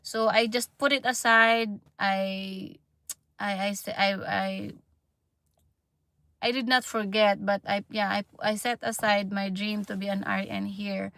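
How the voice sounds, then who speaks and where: A Filipino woman in her thirties talks slowly and haltingly on a casual livestream, restarting often and trailing off. Her voice has a gravelly creak, and the close recording is dry with no room sound. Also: medium pitch, flat delivery, fairly bright tone, no room sound. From Philippines. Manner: halting, many fillers and restarts, laughs often. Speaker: livestream vlogger.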